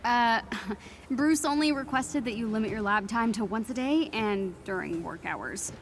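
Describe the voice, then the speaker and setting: A woman plays a friendly young superhero in a video game. Her voice sits fairly high and has a playful, teasing tone, over clearly audible background noise. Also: unhurried, close to an everyday voice.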